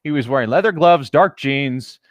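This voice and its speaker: A man's voice: medium pitch, normal speed, no echo. A man casually co-hosting a comedic fan fiction reading, bantering hesitantly and often amused by what he reads. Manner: hesitant, amused, prone to breaking into laughter. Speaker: co-host reading fan fiction aloud with commentary.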